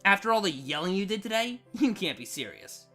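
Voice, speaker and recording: A woman's voice: medium pitch, sing-song, a little fast, fairly bright tone, soft background music. A woman voicing a surly, cynical siren in a storybook reading, grumbling in a dismissive, needling way. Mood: amused, incredulous. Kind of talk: storybook fan fiction reading.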